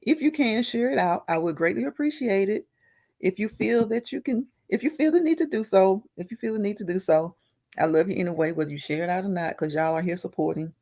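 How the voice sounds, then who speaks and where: Gravelly-voiced woman hosting a live chat. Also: medium pitch, animated, fairly quick, mellow. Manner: laughs often, greets viewers by name. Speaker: livestream host reading chat.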